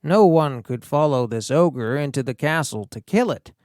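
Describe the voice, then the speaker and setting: A man reading a fairy tale as an audiobook narrator, in a theatrical, deliberate storytelling manner with dramatic pauses. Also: mid-range voice, expressive, a little mellow, no echo, clean studio sound.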